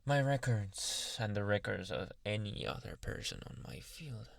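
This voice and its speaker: A man playing a possessive, softly menacing psychiatrist in an ASMR roleplay, coaxing in a hushed, breathy, deliberate voice. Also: lively intonation, no echo, studio quality. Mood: smug, reassuring. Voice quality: breathy, gravelly low voice.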